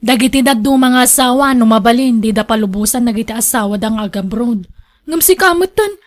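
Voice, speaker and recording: Nagging Ilocano wife, played by a woman, high voice. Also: a little fast, lightly acted. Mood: reproachful. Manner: scolding, reproachful. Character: nagging, thrifty wife and mother, exasperated with vain husband. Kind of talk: Ilocano radio drama acting.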